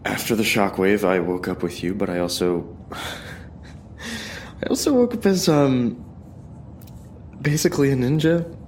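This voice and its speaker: Hesitant, slow-talking young hero played by a man. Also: mid-range voice, darkish tone, a little hiss or hum, close to an everyday voice. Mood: sheepish. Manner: halting, hesitant. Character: sheepish, loyal young male superhero sidekick, indestructible. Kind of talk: audio drama acting.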